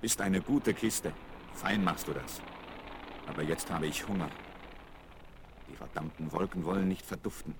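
Plucky male pilot, low voice, fond self-talk, 1970s radio drama, engine drone. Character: plucky young male pilot talking fondly to his plane. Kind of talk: 1970s radio play acting. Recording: noisy vinyl record, engine drone behind.

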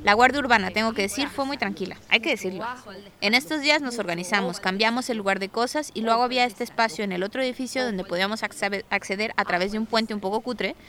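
A woman's voice: on the high side, quick pace, a crowd in the background. A woman giving conversational first-hand testimony as a resident recounting events.

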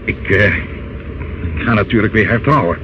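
An actor in a Dutch radio play, playing a self-satisfied, menacing older uncle who wheedles and talks down in a hesitant, smooth way. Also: male voice, fairly low pitch, moderate pace, lightly acted. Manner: wheedling, hesitant, condescending, calls listener child. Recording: dull, noisy old radio recording.

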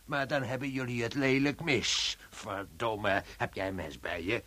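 A man's voice: mid-range voice, unhurried, pushed and bright, no room sound. An actor playing a furious, rebellious man who rants bitterly and scornfully in a radio drama. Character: furious rebellious man raging against the authorities.

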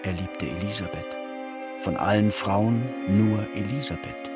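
A man narrating a literary radio drama in a measured, solemn manner. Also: on the low side, over music, lightly acted. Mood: grave, measured.

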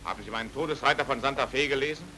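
An actor playing a stubborn, combative pulp novelist in a German radio crime drama, speaking in a terse, dry, direct way. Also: male voice, mid-range voice, rapid-fire, bright, forceful tone, slight background noise, natural voice. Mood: defiant.

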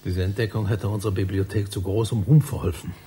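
An actor playing an elderly, obsessive librarian and spider researcher in a satirical radio play, lecturing pedantically and reproachfully in a raspy voice. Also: male voice, on the low side, steady tone, fast, dark tone. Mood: pedantic, proud. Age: older. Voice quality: husky, raspy.

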